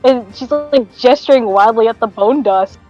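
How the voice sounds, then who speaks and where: Higher-voiced woman playing a tabletop game, slightly dull audio. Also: normal speed, warm tone, faint music behind. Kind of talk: casual game-table chat.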